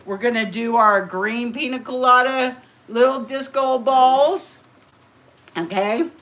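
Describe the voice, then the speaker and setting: A woman's voice: fairly high pitch, slow, fairly bright tone. A middle-aged woman hosting a casual livestream from her living room, chatty and rambling, often laughing. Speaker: livestream host. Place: living room, green party decorations.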